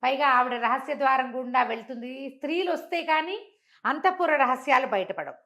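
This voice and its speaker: A woman's voice: sits high, moderate pace, pushed and bright, dull audio. A woman tells a moral folk tale conversationally, explaining as she goes and repeating phrases for emphasis. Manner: explanatory, uses repetition and acts out dialogue in passing. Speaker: storyteller.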